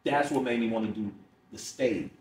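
A man's voice: medium pitch, normal speed. Middle-aged American man storytelling, roomy distant sound. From Chicago, United States. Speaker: stand-up comedian, podcast guest. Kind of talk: conversational storytelling in an interview. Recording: roomy, distant mic, faint noise.

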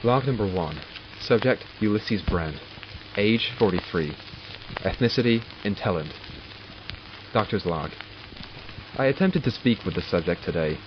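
A man playing a curious, wry doctor in an audio drama, dictating log entries in a measured, formal, dryly sardonic way. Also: fairly low pitch, lively intonation, slight background noise. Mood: clinical, curious. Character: male doctor logging studies of supernatural captives, curious and wry. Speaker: voice actor. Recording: dull lo-fi recording with music behind. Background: music.

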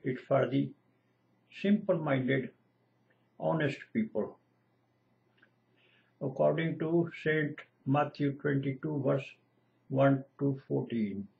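An older man, a retired lecturer whose first language is Punjabi, slowly and earnestly expounding scripture at home. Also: mid-range voice, gravelly. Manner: slow, earnest, lecturing, repeats lists of divine names. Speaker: retired lecturer giving Bible exposition. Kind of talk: home-video scripture teaching. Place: small room indoors at home. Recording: dull lo-fi webcam audio.

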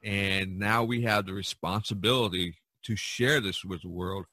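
A man hosting a casual podcast discussion, talking in a rambling, halting way with repeated phrases. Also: on the low side, steady tone, sharp tone. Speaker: podcast host and artist.

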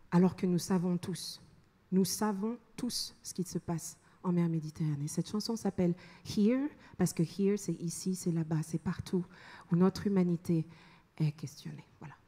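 A young adult woman from France, a singer-songwriter, speaking slowly and earnestly into a handheld mic on stage before her song. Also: fairly low pitch. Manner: slow, soft, earnest speech with pauses. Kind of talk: spoken introduction to a song on stage. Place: large concert hall stage with audience. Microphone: handheld stage microphone. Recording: long hall reverb, live band behind.

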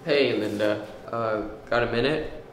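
A man's voice: medium pitch, a little slow, lightly acted. A young man playing a reluctant injured worker in an amateur scripted drama. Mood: subdued. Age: teenager or young adult. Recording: roomy echo, faint background noise.

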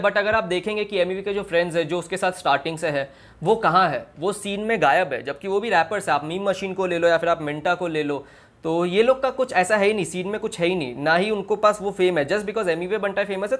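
A man's voice: high voice, a little fast, fairly bright tone. A man giving casual reaction commentary and explanations, mixing slangy Hindi with English phrases. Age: 20s. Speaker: music reaction video host. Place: small indoor room.

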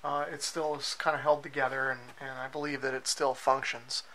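A man giving a casual, low-key and hesitant hands-on product walkthrough as a reviewer in a cellar. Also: flat delivery, a little fast, bright, forceful tone, slight background noise, low-quality recording. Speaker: radio reviewer. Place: cellar with concrete walls.

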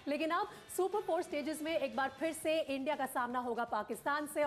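A woman news anchor presenting a special cricket broadcast from a studio with polished, dramatic phrasing. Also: sits high. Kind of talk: scripted sports news presenting.

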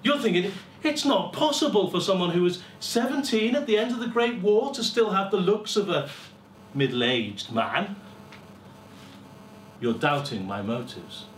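A middle-aged man giving a dramatic, deliberate first-person story reading, with pauses and swelling emphasis. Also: high-pitched. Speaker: story reader at a live festival. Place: small lecture room with seated audience. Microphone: far camera. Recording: roomy, distant camera mic, faint hiss.

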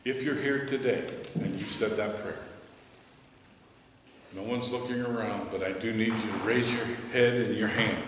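A man's voice: lowish voice, steady tone, moderate pace, noisy background. Middle-aged American man, pastor, emphatic sermon at a pulpit, heard from a distance with long reverb. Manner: earnest, emphatic preaching, softening to a hushed prayer. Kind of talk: sermon and altar call. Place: church sanctuary, standing at a pulpit. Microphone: headset mic. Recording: echoey church hall, from a distance.

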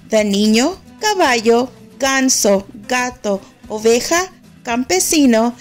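A woman narrating a children's story in an expressive, measured way, as a read-aloud storyteller. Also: fairly high pitch.

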